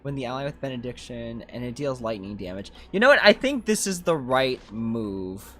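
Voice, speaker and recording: A game streamer doing casual commentary, thinking out loud and chatting in slang while reading viewer comments. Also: medium pitch, sing-song, normal speed, soft background music.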